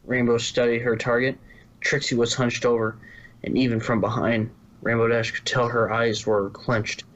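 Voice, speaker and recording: A man's voice: mid-range voice, flat delivery, moderate pace, fairly bright tone, lo-fi sound. A man reading scripted story narration, now and then stumbling and rereading a phrase.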